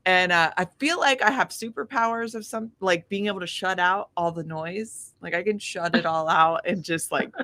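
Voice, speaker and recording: A woman in her thirties talking casually as an interview guest, animated and gushing, peppering her talk with "like" and "you know". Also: fairly low pitch, normal speed, few pauses, dry recording. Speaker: interview guest, independent singer-songwriter.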